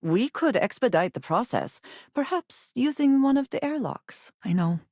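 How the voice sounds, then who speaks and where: An actress playing a guarded, formal woman spacefarer in a video game, loyal but wary and dry, with measured phrasing and few contractions. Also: a little high, very animated, darkish tone, no echo. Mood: cool, reserved. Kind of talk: video game voice acting, scripted dialogue.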